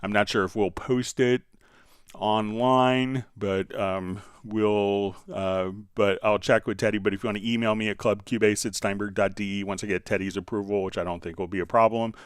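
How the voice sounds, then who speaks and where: Low, clear-voiced man explaining software. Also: fairly even intonation, normal speed, no echo, studio quality. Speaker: host answering viewer questions on a software livestream. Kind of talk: informal tutorial, explaining step by step.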